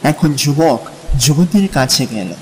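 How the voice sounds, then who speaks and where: A man narrating a literary story in a measured, dramatic style. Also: medium pitch, animated, a little hiss or hum. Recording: long reverb, music and rain behind.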